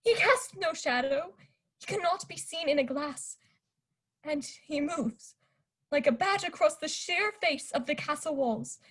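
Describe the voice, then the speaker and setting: A woman's voice: high voice, lively intonation, a little slow, a bit bright. A young woman playing a frightened young male traveler who reads out his diary in a student radio play. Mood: fearful. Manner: earnest diary narration, rising to panic.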